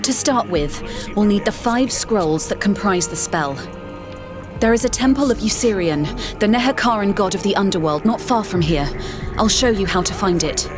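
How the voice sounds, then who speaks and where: A woman's voice: medium pitch, lively intonation, natural voice. Woman doing a serious scholar lady laying out the plan, music under her. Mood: explanatory. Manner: explanatory, earnest, measured. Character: learned woman scholar and adviser, urgent and earnest. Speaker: quest giver.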